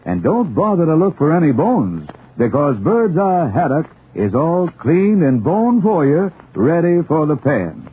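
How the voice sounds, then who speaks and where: A man with an American accent delivering a folksy 1940s radio commercial as a pitchman. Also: big pitch swings, measured pace, few pauses, husky. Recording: muffled, tinny vintage radio recording.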